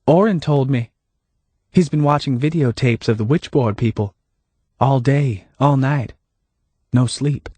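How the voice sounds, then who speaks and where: A man voicing a woman who speaks in a soft, measured, knowing way, calmly accusing, with a slightly husky voice. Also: mid-range voice, no room sound, voice pushed up. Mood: matter-of-fact. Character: calm, pitying woman, quietly accusing.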